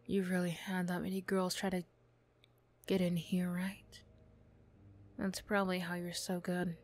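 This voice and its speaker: Woman acting a slow, flustered young former bully. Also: fairly low pitch, completely flat delivery. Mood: grudging, soft. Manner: stammering, bashful, snappy. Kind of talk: ASMR roleplay acting.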